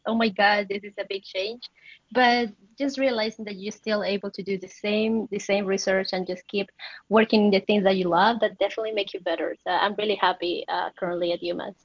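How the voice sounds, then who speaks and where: A woman's voice: mid-range voice, fairly even intonation, fairly quick, no echo, highs cut off. A young adult woman from Colombia, a native Spanish speaker with a Colombian accent, chatting casually as an interview guest. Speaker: interview guest, PhD student. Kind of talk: casual, conversational answers.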